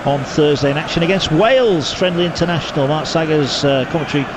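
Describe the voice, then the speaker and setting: A man calling live football on radio, brisk play-by-play that rises with the action. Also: on the high side. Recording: broadcast with loud stadium noise behind.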